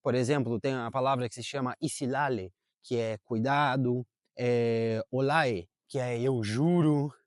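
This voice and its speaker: A man's voice: medium pitch, steady tone, measured pace, hardly any pauses, no echo, clean studio sound. A young adult man talking casually to camera in a park, chatty and full of fillers. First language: Portuguese. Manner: chatty, self-correcting, lots of fillers. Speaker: vlogger. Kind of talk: casual vlog talk to camera. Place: outdoors in a park.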